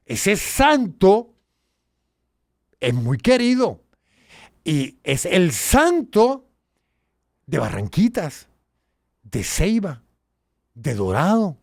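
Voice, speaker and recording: Puerto Rican male host, animated and emphatic, lively pitch, dry studio sound. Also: vocal fry, no echo. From Puerto Rico. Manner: animated, emphatic, swings between low asides and loud exclaims. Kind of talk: casual educational talk.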